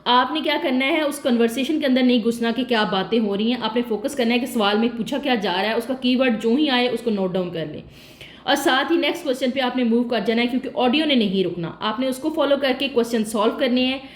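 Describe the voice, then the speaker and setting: A woman's voice: on the high side, fast talker. Explaining woman in an echoey room. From Pakistan. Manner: explanatory, asks and answers her own questions. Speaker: test-prep tutor. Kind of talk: casual spoken tutorial.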